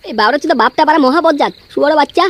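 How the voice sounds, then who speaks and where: A woman's voice: sits high, racing, a bit bright, no echo. A woman voicing a crude, hot-tempered young village man in a comic cartoon, grumbling and swearing constantly. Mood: hungry, frustrated. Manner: foul-mouthed grumbling, peppered with swearing. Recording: faint background noise.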